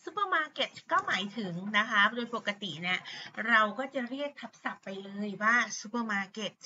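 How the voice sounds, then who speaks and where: A woman's voice: fairly high pitch, big pitch swings, sharp tone, a little hiss or hum. A middle-aged woman with a Thai accent, an English teacher patiently explaining vocabulary to pupils with many fillers. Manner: patient, repeats words slowly, many fillers. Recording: dull lo-fi sound.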